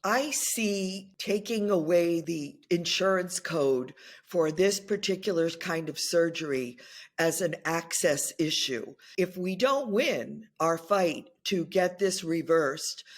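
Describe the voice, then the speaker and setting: A woman in her sixties speaking as a patient in an earnest, deliberate way. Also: fairly low pitch. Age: 60s. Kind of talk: interview testimony.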